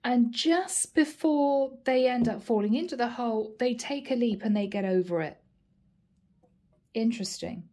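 A woman with a fairly high voice talks her viewers through a tarot spread, chatty and familiar. Her voice is a touch hoarse, as if worn from many readings. She is recorded close up at a table in a room at home. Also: normal speed. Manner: chatty, warm address to viewers, frequent "guys" and "okay". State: slightly hoarse, losing her voice. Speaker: tarot reader. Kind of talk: casual tarot reading to camera.

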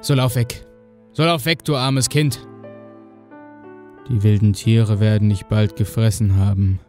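A man's voice: fairly low pitch, fairly even intonation, measured pace, darkish tone, faint music behind, close to an everyday voice. A man playing a compassionate huntsman in a fairy tale, speaking as if moved. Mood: pitying.

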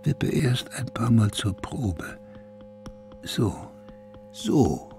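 An actor playing a deranged, vengeful madman in a German radio play, hushed and husky, savoring his cruel fantasies word by word. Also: medium pitch, sing-song, drawn-out words, soft background music, exaggerated voice. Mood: rapt, gloating. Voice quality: husky, gravelly, breathy whisper.

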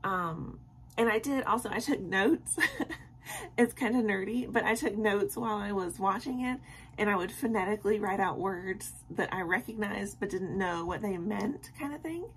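A young woman with a tired, slightly scratchy voice talks casually to her viewers at bedtime, filling pauses with ums. She sits in a small bedroom close to the camera. A faint hiss of room noise sits under her voice. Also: mid-range voice. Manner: rambling and friendly, with many ums and soft laughs. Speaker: vlogger. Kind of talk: casual late-night chat to camera.

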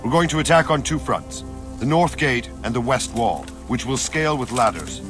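A grizzled older male soldier played by an actor for a video game. He is plain-spoken and commanding, with a fatherly firmness. His mid-pitched voice rises and falls animatedly over clearly audible music. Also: animated, normal speed, lightly acted. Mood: resolute, instructing. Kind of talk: dramatic video game cutscene acting. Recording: music behind the voice.

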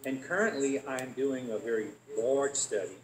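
A man giving an academic conference talk in English, his first language, animated and fond of rhetorical questions. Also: medium pitch, normal speed, a crowd in the background. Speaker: academic presenter. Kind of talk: conference presentation. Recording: echoey room.

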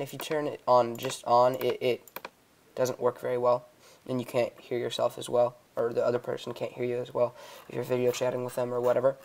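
Young male tutorial host: clean, smooth voice, flat intonation, casual and unscripted, a little hesitant. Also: mid-range voice. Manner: hesitant, improvised explaining. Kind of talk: casual screen-recorded software tutorial. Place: indoors, voice-over on a computer screen recording.

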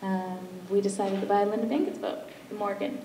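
A woman's voice: mid-range voice, level tone, brisk, warm tone. A young woman, a fishing skipper, telling stories on a live panel. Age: late 20s to early 30s. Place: auditorium stage, recorded from audience distance. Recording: echoey, distant, noisy hall recording.

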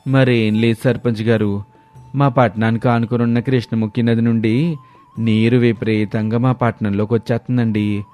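A man's voice: medium pitch, little pitch movement, moderate pace, mellow, faint music behind, natural voice. An actor playing a deferential, persuasive townsman in a cartoon moral story. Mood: polite, coaxing.

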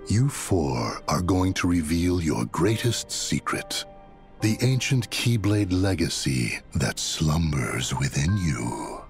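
An actor playing the solemn, menacing leader of a dark order in a game, grave and formal. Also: male voice, low voice, big pitch swings, takes it slowly, vocal fry, soft background music, exaggerated voice. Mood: ominous.

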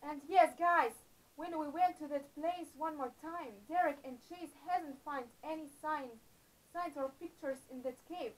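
A middle-aged woman narrating a Bible-themed adventure story to camera in a small room, earnest and a little halting. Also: sits high, poor audio. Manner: earnest, slightly halting phrasing with uneven emphasis. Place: small indoor room before a painted backdrop. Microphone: camera close up in front of her.

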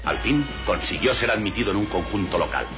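An actor playing a solemn, mock-grave documentary narrator in a film comedy. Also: male voice, fairly low pitch, animated, fairly quick, lo-fi sound, music in the background, close to an everyday voice.

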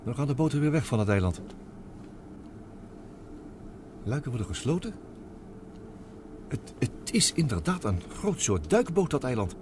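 A man plays a proud submarine inventor in an old radio serial, terse and sure of himself. His delivery swings lively in pitch, over a noisy recording with a rumble behind it. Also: moderate pace, natural voice. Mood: intent. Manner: terse, commanding. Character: confident male submarine inventor, brisk and proud. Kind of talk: Dutch radio drama acting.

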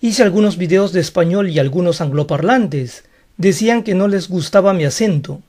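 Frank, high-voiced male Spanish-speaking teacher. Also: moderate pace, a little mellow. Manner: frank, defensive, explanatory. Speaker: English teacher. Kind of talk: online lesson monologue.

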